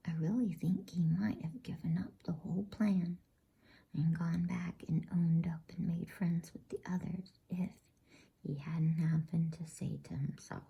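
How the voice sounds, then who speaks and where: A woman reads a bedtime story in a breathy ASMR whisper, pitched low and dark. She takes it very slowly and softly, narrating from a storybook in a soothing, unhurried way. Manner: soft, slow, unhurried, soothing delivery.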